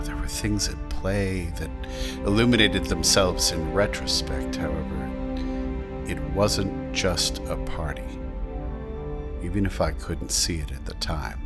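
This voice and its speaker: A man playing a reflective, eloquent storyteller in an audio drama, speaking in a formal, measured way. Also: deep voice. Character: reflective, measured male storyteller recalling court intrigue.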